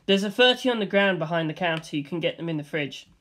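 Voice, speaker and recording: A spaced-out stoner dinosaur who rambles casually about the party, as a man reads him. The voice is slightly high. It stays close to a plain reading voice. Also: expressive, quick pace, fairly bright tone.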